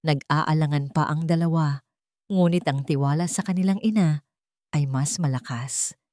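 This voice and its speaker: A woman reading a scripted dramatic story as narrator, with measured, deliberate phrasing. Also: sits low, a little mellow, no echo, studio quality.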